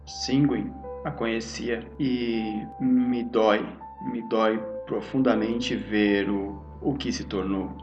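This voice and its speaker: A man playing a solemn, bitter nobleman in a tabletop game, speaking gravely and formally. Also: on the low side, fairly even intonation, dull audio, music in the background. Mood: pained, determined. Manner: grave, formal, slow and resolute. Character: solemn, bitter nobleman sworn to destroy cursed runes.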